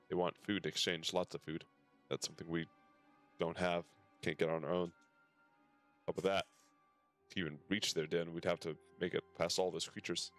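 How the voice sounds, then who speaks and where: A man streaming a game, reading its dialogue aloud with casual asides and the odd stumble over the words. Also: deep voice. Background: game music.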